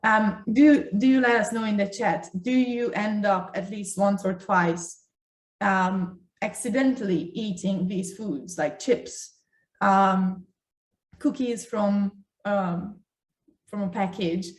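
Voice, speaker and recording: Echoey distant mic, lady doctor chatting about health to online viewers, deliberate and emphatic. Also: medium pitch. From Ukraine. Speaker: doctor co-hosting a health talk. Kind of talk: conversational health talk to an online audience.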